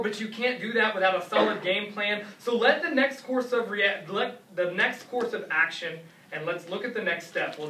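A man's voice: high voice, sharp tone. A man performing slam poetry in an intense, emphatic, rhythmic delivery that leans on repeated words. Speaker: slam poet. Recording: roomy echo, distant mic, faint noise.

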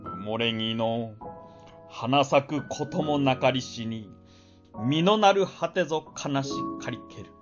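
A man's voice: mid-range voice, expressive, slow pace, dull audio, over music, voice pushed down. A man voicing a proud warrior monk in his seventies who faces death, in radio drama storytelling. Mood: anguished, resigned.

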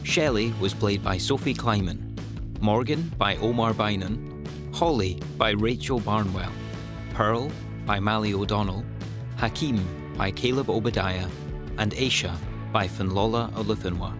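Lowish male announcer over guitar music. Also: measured pace. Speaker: credits announcer. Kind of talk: scripted credits read.

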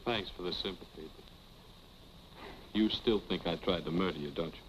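An actor playing a sardonic, embittered husband, dry and sarcastic, in a 1940s film. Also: male voice, deep voice, normal speed, fairly bright tone. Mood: bitter, subdued. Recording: muffled, faintly noisy vintage film soundtrack.

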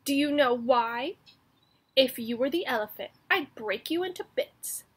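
A woman's voice: high voice, animated, a little slow, fairly bright tone, husky, heavily acted. A woman voicing a boastful, threatening little male mouse in a children's storybook, with bragging, emphatic delivery. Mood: cocky, challenging. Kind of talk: children's storybook read-aloud.

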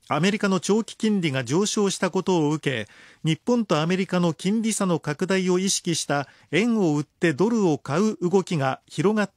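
High-voiced man reading radio news. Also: no room sound, studio quality. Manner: formal, measured, clearly articulated. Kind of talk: scripted news bulletin reading. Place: radio studio.

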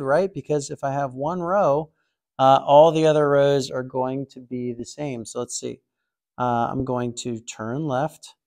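A man hosting a coding tutorial, talking through a puzzle in a relaxed, thinking-aloud way with frequent fillers and restarts. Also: mid-range voice. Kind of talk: casual screen-recorded walkthrough.

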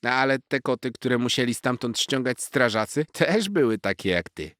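A man's voice: medium pitch, expressive, normal speed, a bit bright, no echo, clean studio sound, voice pushed up, natural voice. A man voicing a small know-it-all female squirrel from a children's story, teasing and scolding with lots of emphasis. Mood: mocking, warning.

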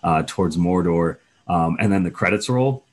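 A young man from Minnesota softly recalling a childhood memory in an interview. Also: sits low, normal speed. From Minnesota, United States. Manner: soft, reflective storytelling.

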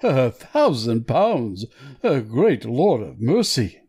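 A man's voice: mid-range voice, big pitch swings, slow pace, darkish tone, slightly rough, exaggerated voice. A man playing a flustered, humble commissionaire in a dramatic audiobook, stammering and gasping in astonishment. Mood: awed.

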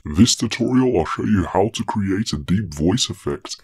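Man with an artificially deepened, rough voice. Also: animated, moderate pace, darkish tone. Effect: artificial pitch-shifted deep voice effect. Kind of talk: scripted tutorial narration.

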